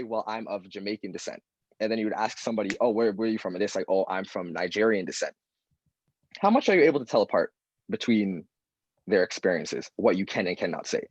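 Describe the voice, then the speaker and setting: A young man on an open mic panel, speaking earnestly and directly. His voice sits low and a little husky, and the sound is dry and close. He drops into lively voices when he quotes people. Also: no room sound, dull audio. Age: young adult.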